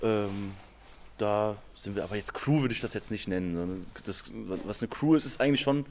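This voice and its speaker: Laid-back slangy male guest, outdoors, clear background noise. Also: fairly low pitch, moderate pace. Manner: relaxed, slangy, rambling. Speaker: graffiti artist guest. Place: outdoors under a bridge.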